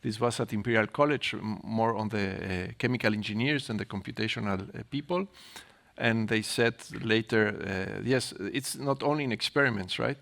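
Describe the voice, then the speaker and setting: Gravelly, halting man on a panel. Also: lowish voice, a bit bright. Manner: halting, tags sentences with "no?". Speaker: keynote speaker, panelist, researcher. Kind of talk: informal panel answer.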